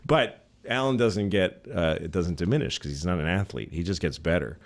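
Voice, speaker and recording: A man in his forties chats as a podcast guest in a slightly low voice. He rambles, restarts his sentences and drifts into sports comparisons. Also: lively intonation, normal speed. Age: 40s. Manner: rambling, self-interrupting, with sports analogies. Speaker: comedian guest. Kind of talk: casual podcast conversation.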